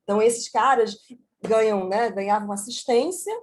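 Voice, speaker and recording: A woman giving an energetic, conversational talk about her book chapter on a video call, full of asides. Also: on the high side, moderate pace, a little hiss or hum. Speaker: book author presenting a chapter. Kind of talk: online book launch talk.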